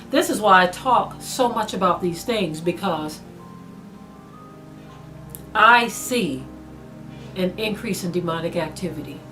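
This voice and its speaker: A middle-aged woman delivers an earnest sermon in a slightly low voice. She drives her points home by repeating key phrases. Faint music plays beneath her words. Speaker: preacher. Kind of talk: sermon-style teaching.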